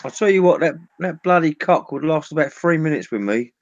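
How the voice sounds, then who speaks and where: A man's voice: fairly high pitch, expressive, brisk, no echo. An English man chatting as a regular guest on a live stream, laughing often. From England. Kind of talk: casual chat. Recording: dull lo-fi call audio.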